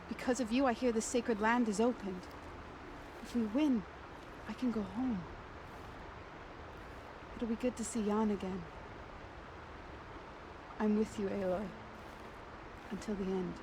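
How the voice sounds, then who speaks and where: A woman's voice: mid-range voice, fairly even intonation, unhurried, long pauses, noisy background, natural voice. An actress playing a resolute exiled warrior woman in a video game, earnest and solemn. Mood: grateful, hopeful. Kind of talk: video game drama acting. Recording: clear outdoor game ambience behind voice.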